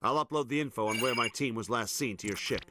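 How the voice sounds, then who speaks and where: A man's voice: medium pitch, quick pace, over loud music, natural voice. A man playing a frustrated senior military admiral in a video game, formal, weary and blunt in his delivery.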